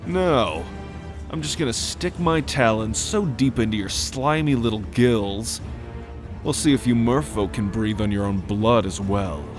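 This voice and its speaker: A man's voice: fairly low pitch, a little slow, over music, lightly acted. A man playing a proud, fierce and protective dragon king in a fantasy audio drama, cocky and menacing.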